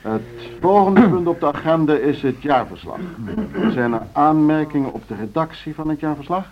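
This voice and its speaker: A man's voice: on the high side, lively intonation, normal speed, over music, natural voice. An actor playing a genial board chairman in a radio drama, running a meeting in a courteous, formal, procedural way. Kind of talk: naturalistic radio drama dialogue. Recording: dull, noisy old radio play recording.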